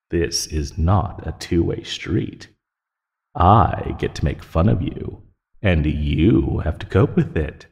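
A man's voice: sits low, full character voice. A man playing a teasing, menacing naga predator in an ASMR roleplay, condescending and taunting in his delivery. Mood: mocking. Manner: slow, taunting, condescending, with soft chuckles and sighs. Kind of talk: ASMR roleplay audio drama. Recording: long hollow reverb.

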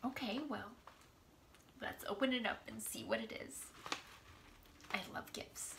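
A woman in her thirties hosting a storytime, talking expressively and deliberately to small children. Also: fairly high pitch, fairly even intonation, creaky, noisy background. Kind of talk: expressive picture-book storytime reading for young children. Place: small indoor room at home.

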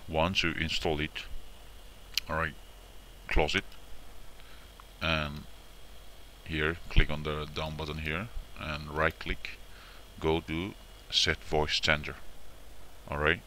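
A man with a very low, fairly monotone voice walks through a software tutorial step by step. He repeats his instructions and checks in often. The sound is sharp, with faint background noise. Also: takes it slowly, pauses often. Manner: repetitive, instructional, often checking with "all right" and "okay". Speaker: tutorial presenter. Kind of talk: informal screen-recorded software tutorial.

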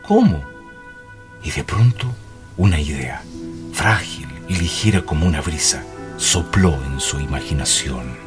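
A man's voice: extremely low pitch, sing-song, a little slow, music in the background. A man narrating a radio drama in a scripted, dramatic storytelling style.

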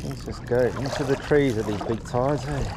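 A man's voice: mid-range voice, normal speed. An Australian man giving casual fishing commentary outdoors on a small boat. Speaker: fishing charter guide. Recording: clear boat, water and outdoor noise. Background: water and boat sounds.

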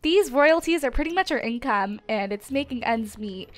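Bubbly high-voiced woman, stuffed-up nose. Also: animated, normal speed. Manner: bubbly, chatty, giggly asides. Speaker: let's play host. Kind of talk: casual gameplay commentary.

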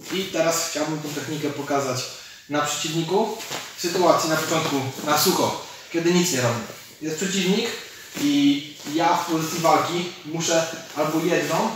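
A man in his thirties, a martial arts coach, giving hands-on instruction and explaining techniques as he demonstrates them. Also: on the high side. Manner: explains while demonstrating moves. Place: large indoor gym with fighting cage. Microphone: camera mic from several metres away. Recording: distant mic, very echoey hall, noisy.

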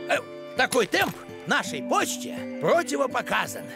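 A man's voice: very high-pitched, sing-song, measured pace, vocal fry, heavily acted. A man playing a pedantic, flustered older village postman in a cartoon, officious and hesitant. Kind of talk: animated cartoon acting. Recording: music behind the voice.

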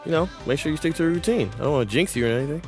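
Goofy, mid-pitched young rookie sidekick. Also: male voice, expressive, rapid-fire, over music. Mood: playful, pestering. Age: young adult. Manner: playful, rambling, slangy. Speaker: rookie teammate. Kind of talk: comedic video game cutscene acting.

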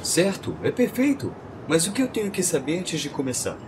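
A man plays an earnest, uneasy father seeking night guard work in a dubbed horror film. He has a Brazilian accent and a hesitant manner with very varied pitch. Ambient noise is clearly heard behind him. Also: wildly swooping pitch, fairly quick. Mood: nervous, hopeful. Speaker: voice actor in a fan dub.

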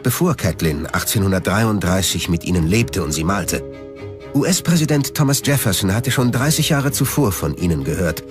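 Low-voiced male documentary narrator, lively intonation.

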